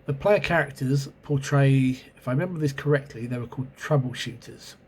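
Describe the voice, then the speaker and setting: A man's voice: medium pitch, fairly quick, darkish tone, husky. A man with a cold and sore throat hosting his own video, rambling and hesitant as he recalls an old role-playing game, recorded on a camera mic at home. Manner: rambling, hesitant, many ums and self-corrections. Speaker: channel host reviewing role-playing games. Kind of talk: casual spoken video review.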